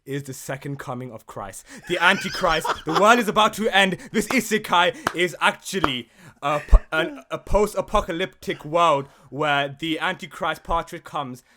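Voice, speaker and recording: A man's voice: a little high, normal speed, sharp tone. A man co-hosting a gaming podcast, casual, jokey and sweary, improvising and bantering.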